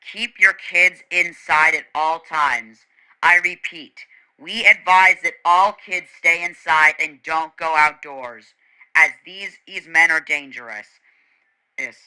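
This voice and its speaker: A man delivers a mock emergency broadcast in a very bright, forceful voice. His tone is smooth and clear, somewhat high for a man. He reads stern warnings like an announcer, stumbling now and then. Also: rough recording.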